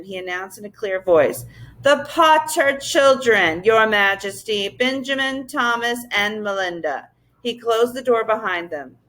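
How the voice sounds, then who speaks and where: A woman's voice: mid-range voice, rough recording. A woman narrating a children's story as a teacher reading aloud to a class.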